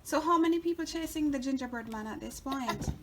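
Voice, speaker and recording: A woman's voice: sits high, a little fast, background noise. A woman chatting casually and playfully with a child during storytime, prompting and encouraging. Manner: encouraging, playful, reacting with interest.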